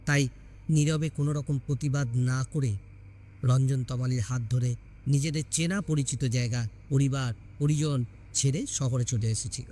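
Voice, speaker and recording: A man's voice: medium pitch, fairly even intonation, moderate pace, dark tone, slight background noise. A man reading a scripted horror story as a dramatic narrator.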